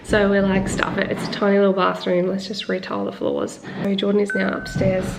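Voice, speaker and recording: A woman's voice: mid-range voice, monotone, fairly quick, vocal fry, noisy background. A young Australian woman with a snuffly, blocked nose, chatting casually and laughing while filming herself at arm's length in a bathroom under renovation. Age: young adult. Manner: chatty, laughing, filler-heavy. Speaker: vlogger. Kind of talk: casual vlog chat. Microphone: handheld camera at arm's length.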